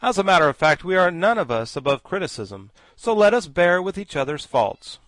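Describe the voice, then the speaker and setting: A man voicing a kind-hearted, earnest tin man who speaks out loudly. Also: high voice, sing-song, a little fast, dry recording, voice pushed up.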